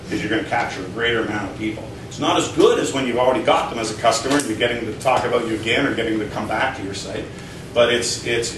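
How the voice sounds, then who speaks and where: Loud chatty Canadian man in an echoey room. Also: fairly low pitch. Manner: loud, chatty, full of fillers and tag questions. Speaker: internet marketing consultant giving a talk. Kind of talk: casual presentation to a live audience. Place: meeting room with an audience. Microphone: no microphone, picked up from a distance. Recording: echoey room, distant, with noise.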